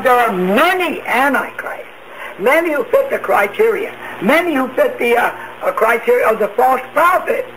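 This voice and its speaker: A man's voice: extremely high pitch, fairly bright tone. A man preaching an end-times radio sermon, emphatic, asking rhetorical questions and answering them himself. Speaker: preacher, self-proclaimed prophet. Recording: noisy radio broadcast.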